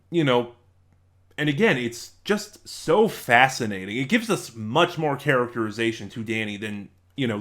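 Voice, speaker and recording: A man's voice: medium pitch, a bit bright. A man talking through a casual review in a conversational, somewhat rambling way, often correcting himself as he goes. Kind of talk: casual spoken audio drama review.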